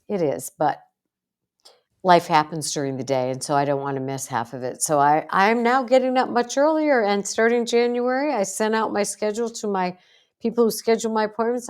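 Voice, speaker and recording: A woman's voice: on the low side, darkish tone. A middle-aged American woman hosting a podcast, chatting casually in an emphatic, folksy way. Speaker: podcast host. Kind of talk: casual conversational interview.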